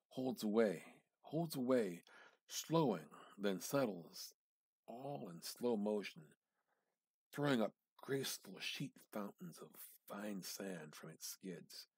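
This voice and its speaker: A man gives a dramatic reading of literary fiction, deliberate and intense, halting for dramatic pauses. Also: fairly low pitch, creaky, darkish tone, husky, dry recording. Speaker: amateur reader.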